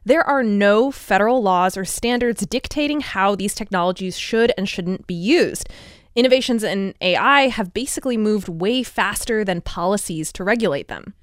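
A woman's voice: medium pitch, normal speed, no echo, studio quality. An American woman hosting a science podcast in a conversational, explanatory way with emphatic phrasing. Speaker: podcast host and reporter.

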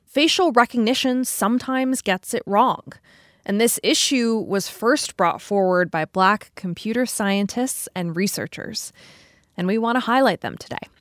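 A woman's voice: medium pitch, a little slow, dry recording, clean studio sound. An American woman hosting a science podcast in a conversational, explanatory way with emphatic phrasing. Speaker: podcast host and reporter.

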